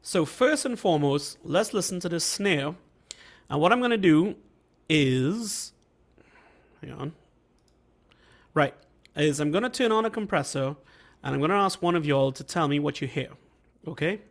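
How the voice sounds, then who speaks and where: A man with a slightly high voice, worn and rough from a recent flu, leads an online class. He talks loosely and haltingly, often pausing to check that everyone follows, and he comes through a video call mic in a home recording room. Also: animated. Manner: conversational, halting, checks in with listeners. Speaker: workshop host and mixing instructor. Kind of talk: casual online teaching. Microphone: video call microphone.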